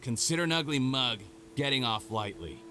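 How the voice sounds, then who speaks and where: A man's voice: mid-range voice, big pitch swings, moderate pace, bright, forceful tone, slight background noise. A smug, scheming con man. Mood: smug, cold. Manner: smug, calculating, dismissive. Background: game music.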